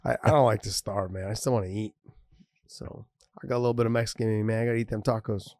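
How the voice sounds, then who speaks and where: A man in his forties, a former fighter co-hosting a casual sports podcast, laid-back and quick to laugh. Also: lowish voice, steady tone, darkish tone, dry recording, clean studio sound.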